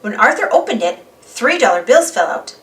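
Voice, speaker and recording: A woman reading a children's story aloud as an animated narrator. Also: a little high, normal speed, far from the mic. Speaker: storybook reader.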